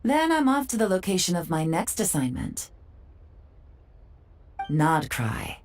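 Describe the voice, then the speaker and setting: A woman voicing a poised, urbane female intelligence agent in a video game, with a smooth, leisurely, playful and self-assured manner. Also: lowish voice, sing-song, measured pace. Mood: businesslike. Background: background music.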